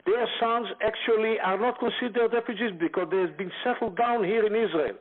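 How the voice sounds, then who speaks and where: Male expert guest, emphatic, slightly high voice, narrow phone audio. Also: brisk. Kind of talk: lecture-style briefing. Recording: tinny phone line.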